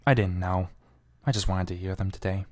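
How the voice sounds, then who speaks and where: Low, dark-voiced man reading dramatically. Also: fairly even intonation, fairly quick. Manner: brooding, theatrical. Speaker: amateur fan fiction reader. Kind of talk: dramatic first-person story reading.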